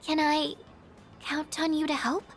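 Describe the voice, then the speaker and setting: Really high shy teen girl game character, soft n stammery, insecure, bit of music behind. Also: monotone, a little slow. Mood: flustered, self-doubting. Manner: hesitant, stammering, soft-spoken and apologetic. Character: shy, gentle, insecure teenage girl student. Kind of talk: video game character dialogue voice acting.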